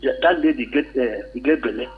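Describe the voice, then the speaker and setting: A man's voice: medium pitch, a little fast. A man with a Nigerian accent calling a radio show to give informal advice in Pidgin-tinged English. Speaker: phone-in caller. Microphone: telephone call. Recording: muffled, narrow phone line.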